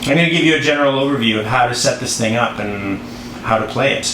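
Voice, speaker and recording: Male presenter, mid-pitched, informal and unscripted how-to delivery with distracted asides, recorded in an echoey room. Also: fast. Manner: rambling, easily distracted, self-interrupting asides. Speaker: board game designer presenting a tutorial. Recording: roomy echo, clear background noise.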